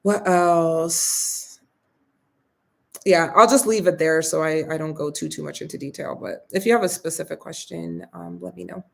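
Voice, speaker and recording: A young woman hosts a relaxed live question-and-answer session, reading viewer comments aloud and answering in a chatty, friendly way. Her voice has a strong gravelly fry, and it turns a little raspy after so much talking. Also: lowish voice, moderate pace. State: getting a bit raspy from talking. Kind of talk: casual live Q&A.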